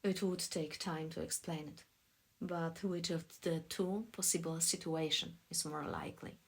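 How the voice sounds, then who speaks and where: Woman reading as a measured, probing male questioner. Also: deep voice, steady tone, creaky, husky, full character voice. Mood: measured, serious.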